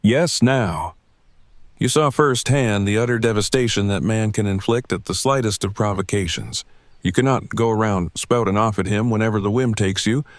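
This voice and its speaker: A man's voice: lowish voice, moderate pace, no room sound, lightly acted. A man playing a stern, protective survivor in an audio drama, scolding in formal, wordy sentences. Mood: indignant, scolding. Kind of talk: full-cast fan audio drama acting.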